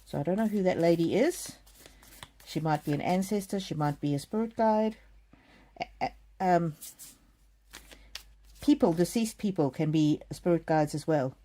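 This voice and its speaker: A middle-aged woman from South Africa giving a gentle live oracle card reading, speaking softly and addressing viewers by name. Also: lowish voice, moderate pace, pauses often. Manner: gentle, soothing, talks straight to viewers by name. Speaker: card reader.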